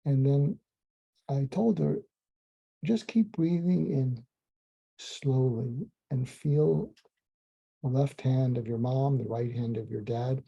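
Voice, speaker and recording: A man, a psychiatrist, calmly recounting a case in a slow, soothing, almost hypnotic way, with frequent ums and restarts. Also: medium pitch, vocal fry, slightly rough. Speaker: psychiatrist telling a clinical story. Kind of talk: calm storytelling monologue. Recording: dull lo-fi sound.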